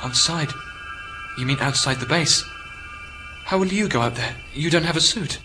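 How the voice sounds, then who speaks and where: Radio drama: stranded British astronaut, male actor, high for a man, lonely, agitated and incredulous, strong music bed. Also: normal speed, lightly acted, rough recording. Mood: anxious, disbelieving. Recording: prominent music behind the voice.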